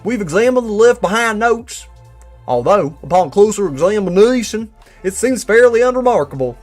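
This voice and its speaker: A folksy cowboy, acted out by a man with a Southern US accent. He pitches high and drawls theatrically as he gives his investigation report, with faint music underneath. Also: expressive, moderate pace, a little mellow. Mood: folksy, watchful. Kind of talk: character reading of a written report.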